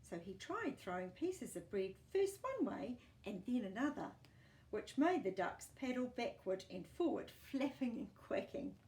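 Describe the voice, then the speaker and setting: A woman reading a picture book aloud to young children in an animated, encouraging way, with chatty asides to the listener. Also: medium pitch, reverberant, rough recording.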